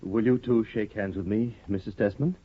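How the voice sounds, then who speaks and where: Brooding author, period radio play; fairly low, grave, reserved intensity, muffled vintage sound. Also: male voice, fairly even intonation, moderate pace, husky. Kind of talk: 1950s radio drama acting. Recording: dull vintage 1950 radio recording.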